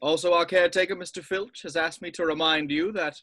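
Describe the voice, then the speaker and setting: Guy as old wizard headmaster, formal, high bright voice, dry close sound. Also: steady tone, brisk, dry recording. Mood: formal, solemn. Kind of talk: table read of a film script.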